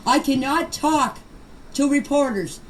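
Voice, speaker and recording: A woman's voice: high voice, unhurried. An older woman giving an unscripted personal account in voice messages, speaking emphatically and defensively and repeating phrases to press her point. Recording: noisy amateur voice-message clips.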